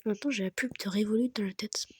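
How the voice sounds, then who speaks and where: A young man with a very high, childlike voice, stuffy from a cold, giving soft, half-whispered, rambling commentary over his gameplay. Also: steady tone, quick pace, background noise. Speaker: gaming YouTuber.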